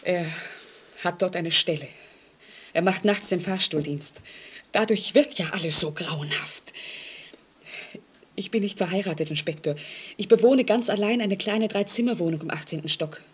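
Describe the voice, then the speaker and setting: Scared lady in an old radio mystery, low husky voice, anxious and halting. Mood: anxious, confiding. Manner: anxious, dramatic, halting, sometimes dropping to a whisper. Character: frightened single woman telling police of a stalking convict. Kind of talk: 1940s German radio play acting.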